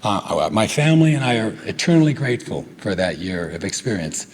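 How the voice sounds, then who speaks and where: An older man from the United States giving a humorous, self-deprecating tribute speech, with many filler pauses. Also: lowish voice, big pitch swings. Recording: long hall reverb, faint noise.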